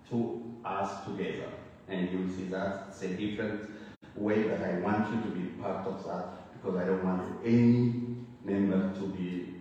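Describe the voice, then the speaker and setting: Deep-voiced Ethiopian-accented preacher in a hall. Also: male voice, mellow, a little hiss or hum. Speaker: guest preacher. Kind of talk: sermon with personal testimony. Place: church sanctuary. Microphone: church microphone, heard from afar. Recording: echoey hall from far off.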